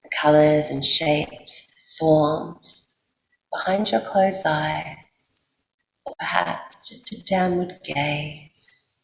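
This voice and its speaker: A woman with an Australian accent guides a sleep meditation in a soothing, unhurried way, leaving long pauses. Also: deep voice, gravelly. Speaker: yoga nidra guide. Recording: roomy echo, faint background noise.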